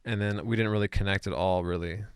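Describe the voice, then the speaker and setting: A soft-spoken male drummer from Hawaii and Washington State, answering interview questions hesitantly and often saying "like". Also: low voice, fairly even intonation, quick pace, smooth, no room sound. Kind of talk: casual interview chat.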